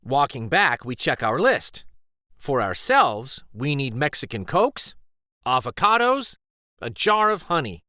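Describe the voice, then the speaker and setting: Bright, animated male storyteller, unhurried. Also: medium pitch, no room sound, studio quality. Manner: deliberate, animated storytelling delivery. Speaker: children's read-aloud storyteller. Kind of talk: expressive picture book narration.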